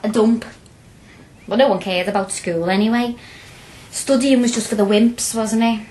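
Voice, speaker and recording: A woman's voice: fairly high pitch, steady tone, normal speed, a little mellow, lightly acted, poor audio. An actress playing a feisty, blunt young working-class woman with a Liverpool accent in a radio drama, chatty and outspoken. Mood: chatty, reflective. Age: young adult.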